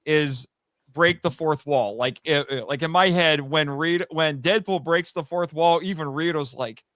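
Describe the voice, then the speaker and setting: A man speaking as a podcast guest in an animated, casual discussion, wandering into tangents with stammering restarts and frequent chuckles. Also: fairly high pitch, moderate pace, no echo, clean studio sound. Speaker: podcast guest, voice actor and writer.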